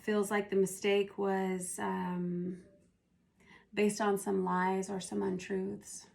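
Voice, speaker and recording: A woman with a hoarse, gravelly voice talks through a tarot reading at an unhurried pace, thinking aloud as she goes. Her pitch stays level and flat, with little rise or fall. She sounds like she is losing her voice. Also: slight background noise. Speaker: tarot reader. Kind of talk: casual spoken tarot reading.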